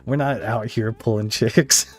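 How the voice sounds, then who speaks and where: A young man hosting a casual podcast interview, with halting, slangy, laid-back phrasing. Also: on the low side, steady tone, soft background music. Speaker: podcast interviewer, ASMR audio creator.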